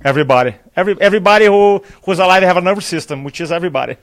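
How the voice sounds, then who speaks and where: Brazilian man, native Portuguese speaker, energetic conference interview guest, a slightly raised voice, dry close mic. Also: high-pitched, rapid-fire, no room sound. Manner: animated storyteller, acts out dialogue, laughs often. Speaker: public speaking trainer, guest. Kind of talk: enthusiastic live interview chat. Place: conference venue.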